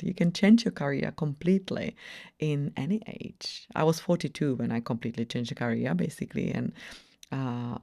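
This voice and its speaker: A woman in her late forties talks as a guest in a casual interview. Her voice sits low for a woman and has a clear creak to it. She stresses her points and repeats phrases to affirm them. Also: warm tone. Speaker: podcast guest, personal brand strategist.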